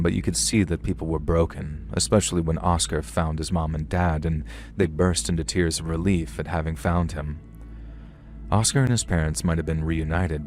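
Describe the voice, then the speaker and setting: A man narrating a story in a calm, steady storytelling manner. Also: deep voice. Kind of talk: scripted horror story narration.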